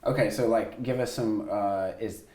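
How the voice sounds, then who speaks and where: A man hosts a relaxed interview, asking long, meandering questions packed with "like" and "uh." His voice sits low. The room around him is echoey. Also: normal speed. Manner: loose, rambling questions with many fillers. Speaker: podcast host. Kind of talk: casual interview.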